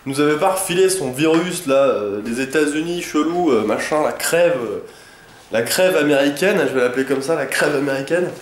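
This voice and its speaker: A man's voice: on the high side, expressive, moderate pace, slight background noise. Chatty young man with a stuffy cold. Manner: rambling and chatty, lots of hesitations and slang. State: congested with a head cold. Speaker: vlogger. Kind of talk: casual daily vlog chat. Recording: echoey room.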